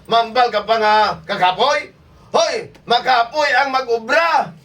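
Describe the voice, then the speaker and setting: A man's voice: very high-pitched, unhurried, a bit bright. A man with an Ilonggo accent playing a grumbling, defensive, tight-fisted working husband in a comedy skit, exclaiming and complaining. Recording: distant mic.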